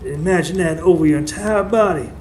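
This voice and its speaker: A man's voice: fairly high pitch, brisk, a little mellow, close to an everyday voice. A man playing a wise, stern old folk healer in a live radio drama, terse and commanding as he instructs. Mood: grave, instructive. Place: stage before a live studio audience. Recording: live stage recording with background noise.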